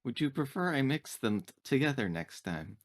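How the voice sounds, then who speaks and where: A man's voice: medium pitch, very animated, normal speed, voice pushed up. A female android attendant played by a man in a sci-fi roleplaying game. The speech is formal and stilted, with careful, precise wording and a politely literal temper. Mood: polite, helpful.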